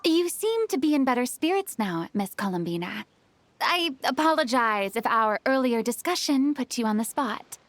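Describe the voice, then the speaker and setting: A woman's voice: fairly high pitch, lively intonation, moderate pace, natural voice. A woman playing a youthful-sounding, boastful and playful female shaman who calls herself granny, in an anime game. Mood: teasing. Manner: playful, self-assured, theatrical.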